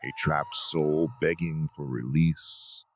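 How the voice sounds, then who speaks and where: Male narrator, extremely low gravelly voice, ominous scripted delivery, noisy ambient background. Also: fairly even intonation, slow pace. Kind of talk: scripted dramatic outro narration. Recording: clear background noise, ambience.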